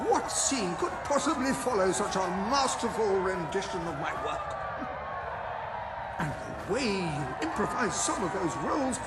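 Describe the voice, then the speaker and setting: High, echoing, pompous playwright. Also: male voice, heavily acted. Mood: awed. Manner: pompous, reverent, theatrical. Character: gushing, grandiose male playwright in a fantasy game. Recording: echoey, loud crowd-like noise behind.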